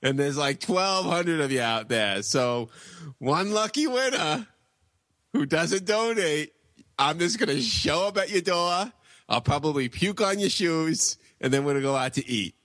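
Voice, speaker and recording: A man's voice: on the high side, expressive, measured pace, a bit bright, no echo. A man voicing a boorish, hard-drinking grown male bully with a brash, swaggering drawl in a podcast comedy bit. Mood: grumpy.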